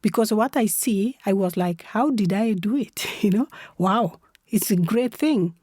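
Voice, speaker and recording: A woman's voice: medium pitch, animated, a little slow, warm tone, no room sound, clean studio sound. A woman from Sudan with a Sudanese accent, speaking English as an additional language in an impassioned interview, emphatic and fond of rhetorical questions. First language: a mother tongue other than English. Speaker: activist guest.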